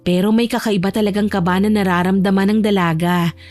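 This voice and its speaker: A woman's voice: mid-range voice, steady tone, brisk, a little mellow, soft background music. A woman narrator delivering dramatic scripted storytelling for an audio drama.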